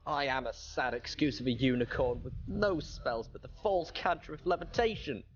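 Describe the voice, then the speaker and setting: A man's voice: mid-range voice, normal speed, highs cut off, slight background noise, voice pushed up, lightly acted. A man voicing a small, exhausted but defiant young female unicorn in a storybook reading. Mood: feeble, defiant. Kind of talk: acted storybook dialogue.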